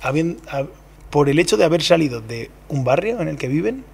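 A man's voice: a little high, sing-song, fairly quick, creaky, darkish tone, husky. A man co-hosting a podcast outdoors, bantering in a joking, mock-dramatic way. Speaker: podcast co-host. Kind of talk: casual banter. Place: outdoor terrace by a brick wall.

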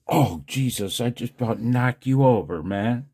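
A man's voice: mid-range voice, unhurried, a little mellow, slightly rough. A man talking casually and self-deprecatingly to his viewers in a rambling monologue, as host of a video channel. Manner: self-deprecating, chuckles often.

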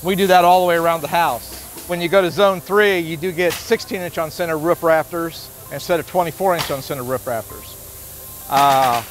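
A man's voice: a little high, moderate pace, faint music behind. A man in his fifties giving an informal, plain-spoken walkthrough as a sales director on a factory floor. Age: 50s. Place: large indoor factory floor.

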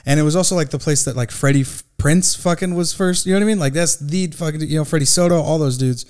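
A man's voice: a little high, quick pace, a little mellow. A man in his thirties from San Jose hosting a podcast, chatty, often laughing and swearing. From San Jose, California. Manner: giggly, swears a lot, eager. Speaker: podcast host, comedian. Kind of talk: casual chat. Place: podcast studio with brick wall. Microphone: boom studio mic.

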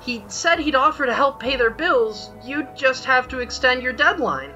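Woman voicing a bright, earnest young cartoon pony. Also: high voice, animated, moderate pace, dull audio, soft background music. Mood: earnest, hesitant. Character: earnest, defensive young pony magician, the sibling. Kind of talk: cartoon fan comic dub.

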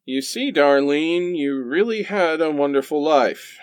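A man's voice: a little high, unhurried, clear, resonant tone, dry recording, lightly acted. A man playing a goofy, reluctant holiday hero in a comic radio play. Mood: mock reassuring.

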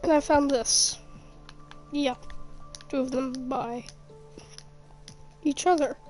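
Croaky high-voiced woman narrating her gameplay. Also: fairly even intonation, slow pace, a little mellow, faint music behind. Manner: chatty, muttering to herself, mock-frustrated. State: croaky from being sick. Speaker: gaming youtuber. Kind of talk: casual gameplay commentary.

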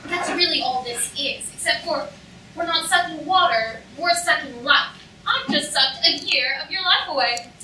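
A woman playing a polite, sadistic torturer count, deliberate and clinical, in a staged reading of a comic play. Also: high-pitched, lively intonation, pushed and bright, full character voice. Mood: clinically menacing. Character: courteous, sadistic torturer count, coolly scholarly. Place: auditorium stage, recorded from the audience. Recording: echoey hall, distant, noisy audience room.

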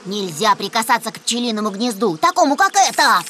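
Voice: medium pitch, big pitch swings, brisk, pushed and bright, slight background noise. An actor voicing a helpful, earnest young rescue helicopter in a children's cartoon dub. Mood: earnest, warning.

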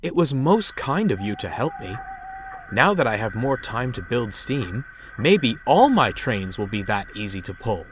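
Storybook steam engine, voiced by a man: gentle, grateful, mid-pitched, takes his time. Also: sing-song, a little slow, background noise. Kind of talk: children's storybook character voice.

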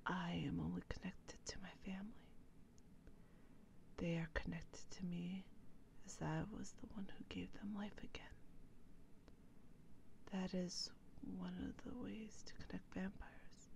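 A woman voicing an anxious, guarded female vampire in a whispered ASMR roleplay, breathy and hesitant. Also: on the low side, steady tone, darkish tone, slight background noise. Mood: grave, worried. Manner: breathy, hesitant, slow and halting.

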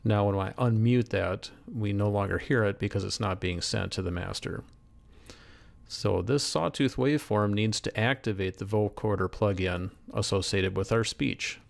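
Male instructor for screen tutorial: methodical, explanatory, gravelly fry, lower register. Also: normal speed. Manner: methodical, step by step. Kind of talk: explanatory software demonstration. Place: voice-over screen recording.

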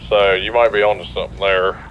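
Low-voiced man in casual game chat. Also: flat delivery, fairly quick, lo-fi sound. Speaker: roleplay player. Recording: faint car and street noise.

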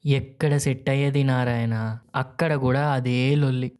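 A man's voice: mid-range voice, level tone, measured pace, a little mellow, smooth, natural voice. An exasperated older farmer from a village tale, acted out by a man. He speaks rustic Telangana Telugu in a weary, complaining way, as a father worn down by his sons.

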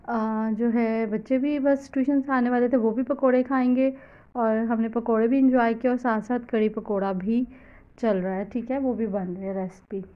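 A woman's voice: on the high side, muffled recording. Chatty Pakistani woman, kitchen voice-over. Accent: Pakistani Urdu. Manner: chatty, loose and explanatory, mixing in English words. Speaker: cooking vlogger. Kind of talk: casual cooking voice-over. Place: home kitchen.

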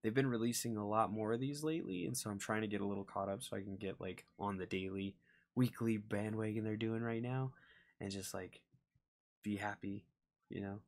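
A man's voice: fairly low pitch, fairly even intonation, fairly quick. A young man giving casual reaction commentary, talking in an indoor room. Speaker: reaction video host.

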